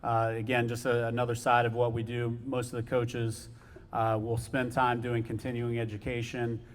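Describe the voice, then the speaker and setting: A man's voice: mid-range voice, monotone, normal speed, slight background noise. A man, the head swim coach, addressing families at a team meeting, informal and full of fillers. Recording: long reverb in a large hall.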